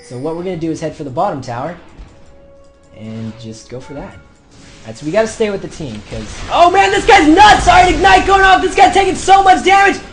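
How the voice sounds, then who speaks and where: A young man commentating his own online match, shouting through the fights and calm in between, his voice going hoarse. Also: high voice, brisk, faint music behind. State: losing his voice. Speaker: gameplay commentator. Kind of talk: excited gameplay commentary.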